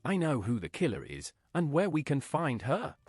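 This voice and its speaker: A man's voice: a little high, huge pitch swings, normal speed, a little mellow, slightly rough, soft background music, exaggerated voice. A man voicing a plain, direct male game hero who speaks in short questions and statements, as AI-voiced game dialogue.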